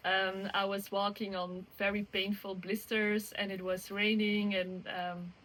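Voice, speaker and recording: A young woman from Belgium with a mid-pitched voice. She answers in a soft-spoken, measured way and laughs lightly now and then. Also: little pitch movement, rough recording. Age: 20s. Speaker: interviewee hiker.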